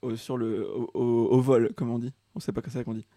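A man's voice: mid-range voice, fast, dry recording, studio quality. Young man laughing at himself. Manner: laughs often, self-mocking, relaxed. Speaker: host. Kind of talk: casual chat, reading his old comments aloud.

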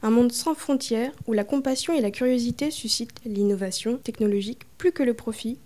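A female interviewer poses long, prepared questions at an even, deliberate reading pace. Her voice sits a little high but sounds soft and mellow, never sharp. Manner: formal, carefully read.

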